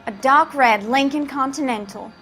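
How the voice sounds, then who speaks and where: A woman's voice: high-pitched, moderate pace, fairly bright tone, soft background music. An actress playing a polite young woman giving witness testimony in a video game, careful and hesitant. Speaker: witness character.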